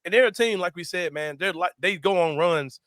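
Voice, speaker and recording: Young man, sports host: bright, forceful voice, slangy and animated, recorded dry. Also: high voice, brisk, dry recording. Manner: animated, slangy, laughs a lot. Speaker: sports talk show host. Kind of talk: casual sports chat.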